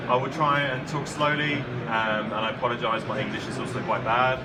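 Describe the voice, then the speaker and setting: A man's voice: medium pitch, little pitch movement, a little fast. An Australian man giving a conference talk, explaining in a plain way with frequent ums. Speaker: conference speaker. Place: conference hall. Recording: distant mic, loud background hum.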